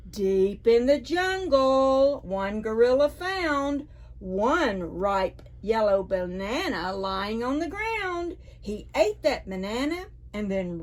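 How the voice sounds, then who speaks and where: A woman presenting a library storytime, reading to preschoolers in a playful, deliberate way. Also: on the high side.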